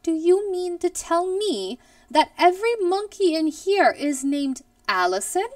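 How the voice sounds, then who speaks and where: A woman's voice: very high voice, big pitch swings, measured pace, no echo, distant mic, voice pushed up, exaggerated voice. A woman voicing a nice but bewildered, stubborn schoolteacher in a children's storybook, exclaiming in disbelief. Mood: astonished. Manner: exclaiming, incredulous.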